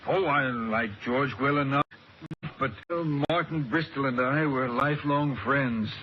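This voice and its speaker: An actor playing an older, formal estate administrator in a 1940s radio drama, polite and slightly halting. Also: male voice, medium pitch, natural voice. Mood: courteous. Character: courteous older male estate administrator, faintly fussy. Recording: muffled, noisy old radio recording.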